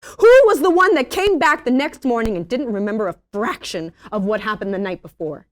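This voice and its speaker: A woman's voice: fairly high pitch, lively intonation, brisk, lightly acted. A woman playing an angry, fed-up partner scolding her man, dramatic and confrontational, at a comedy table read. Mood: accusing, bitter.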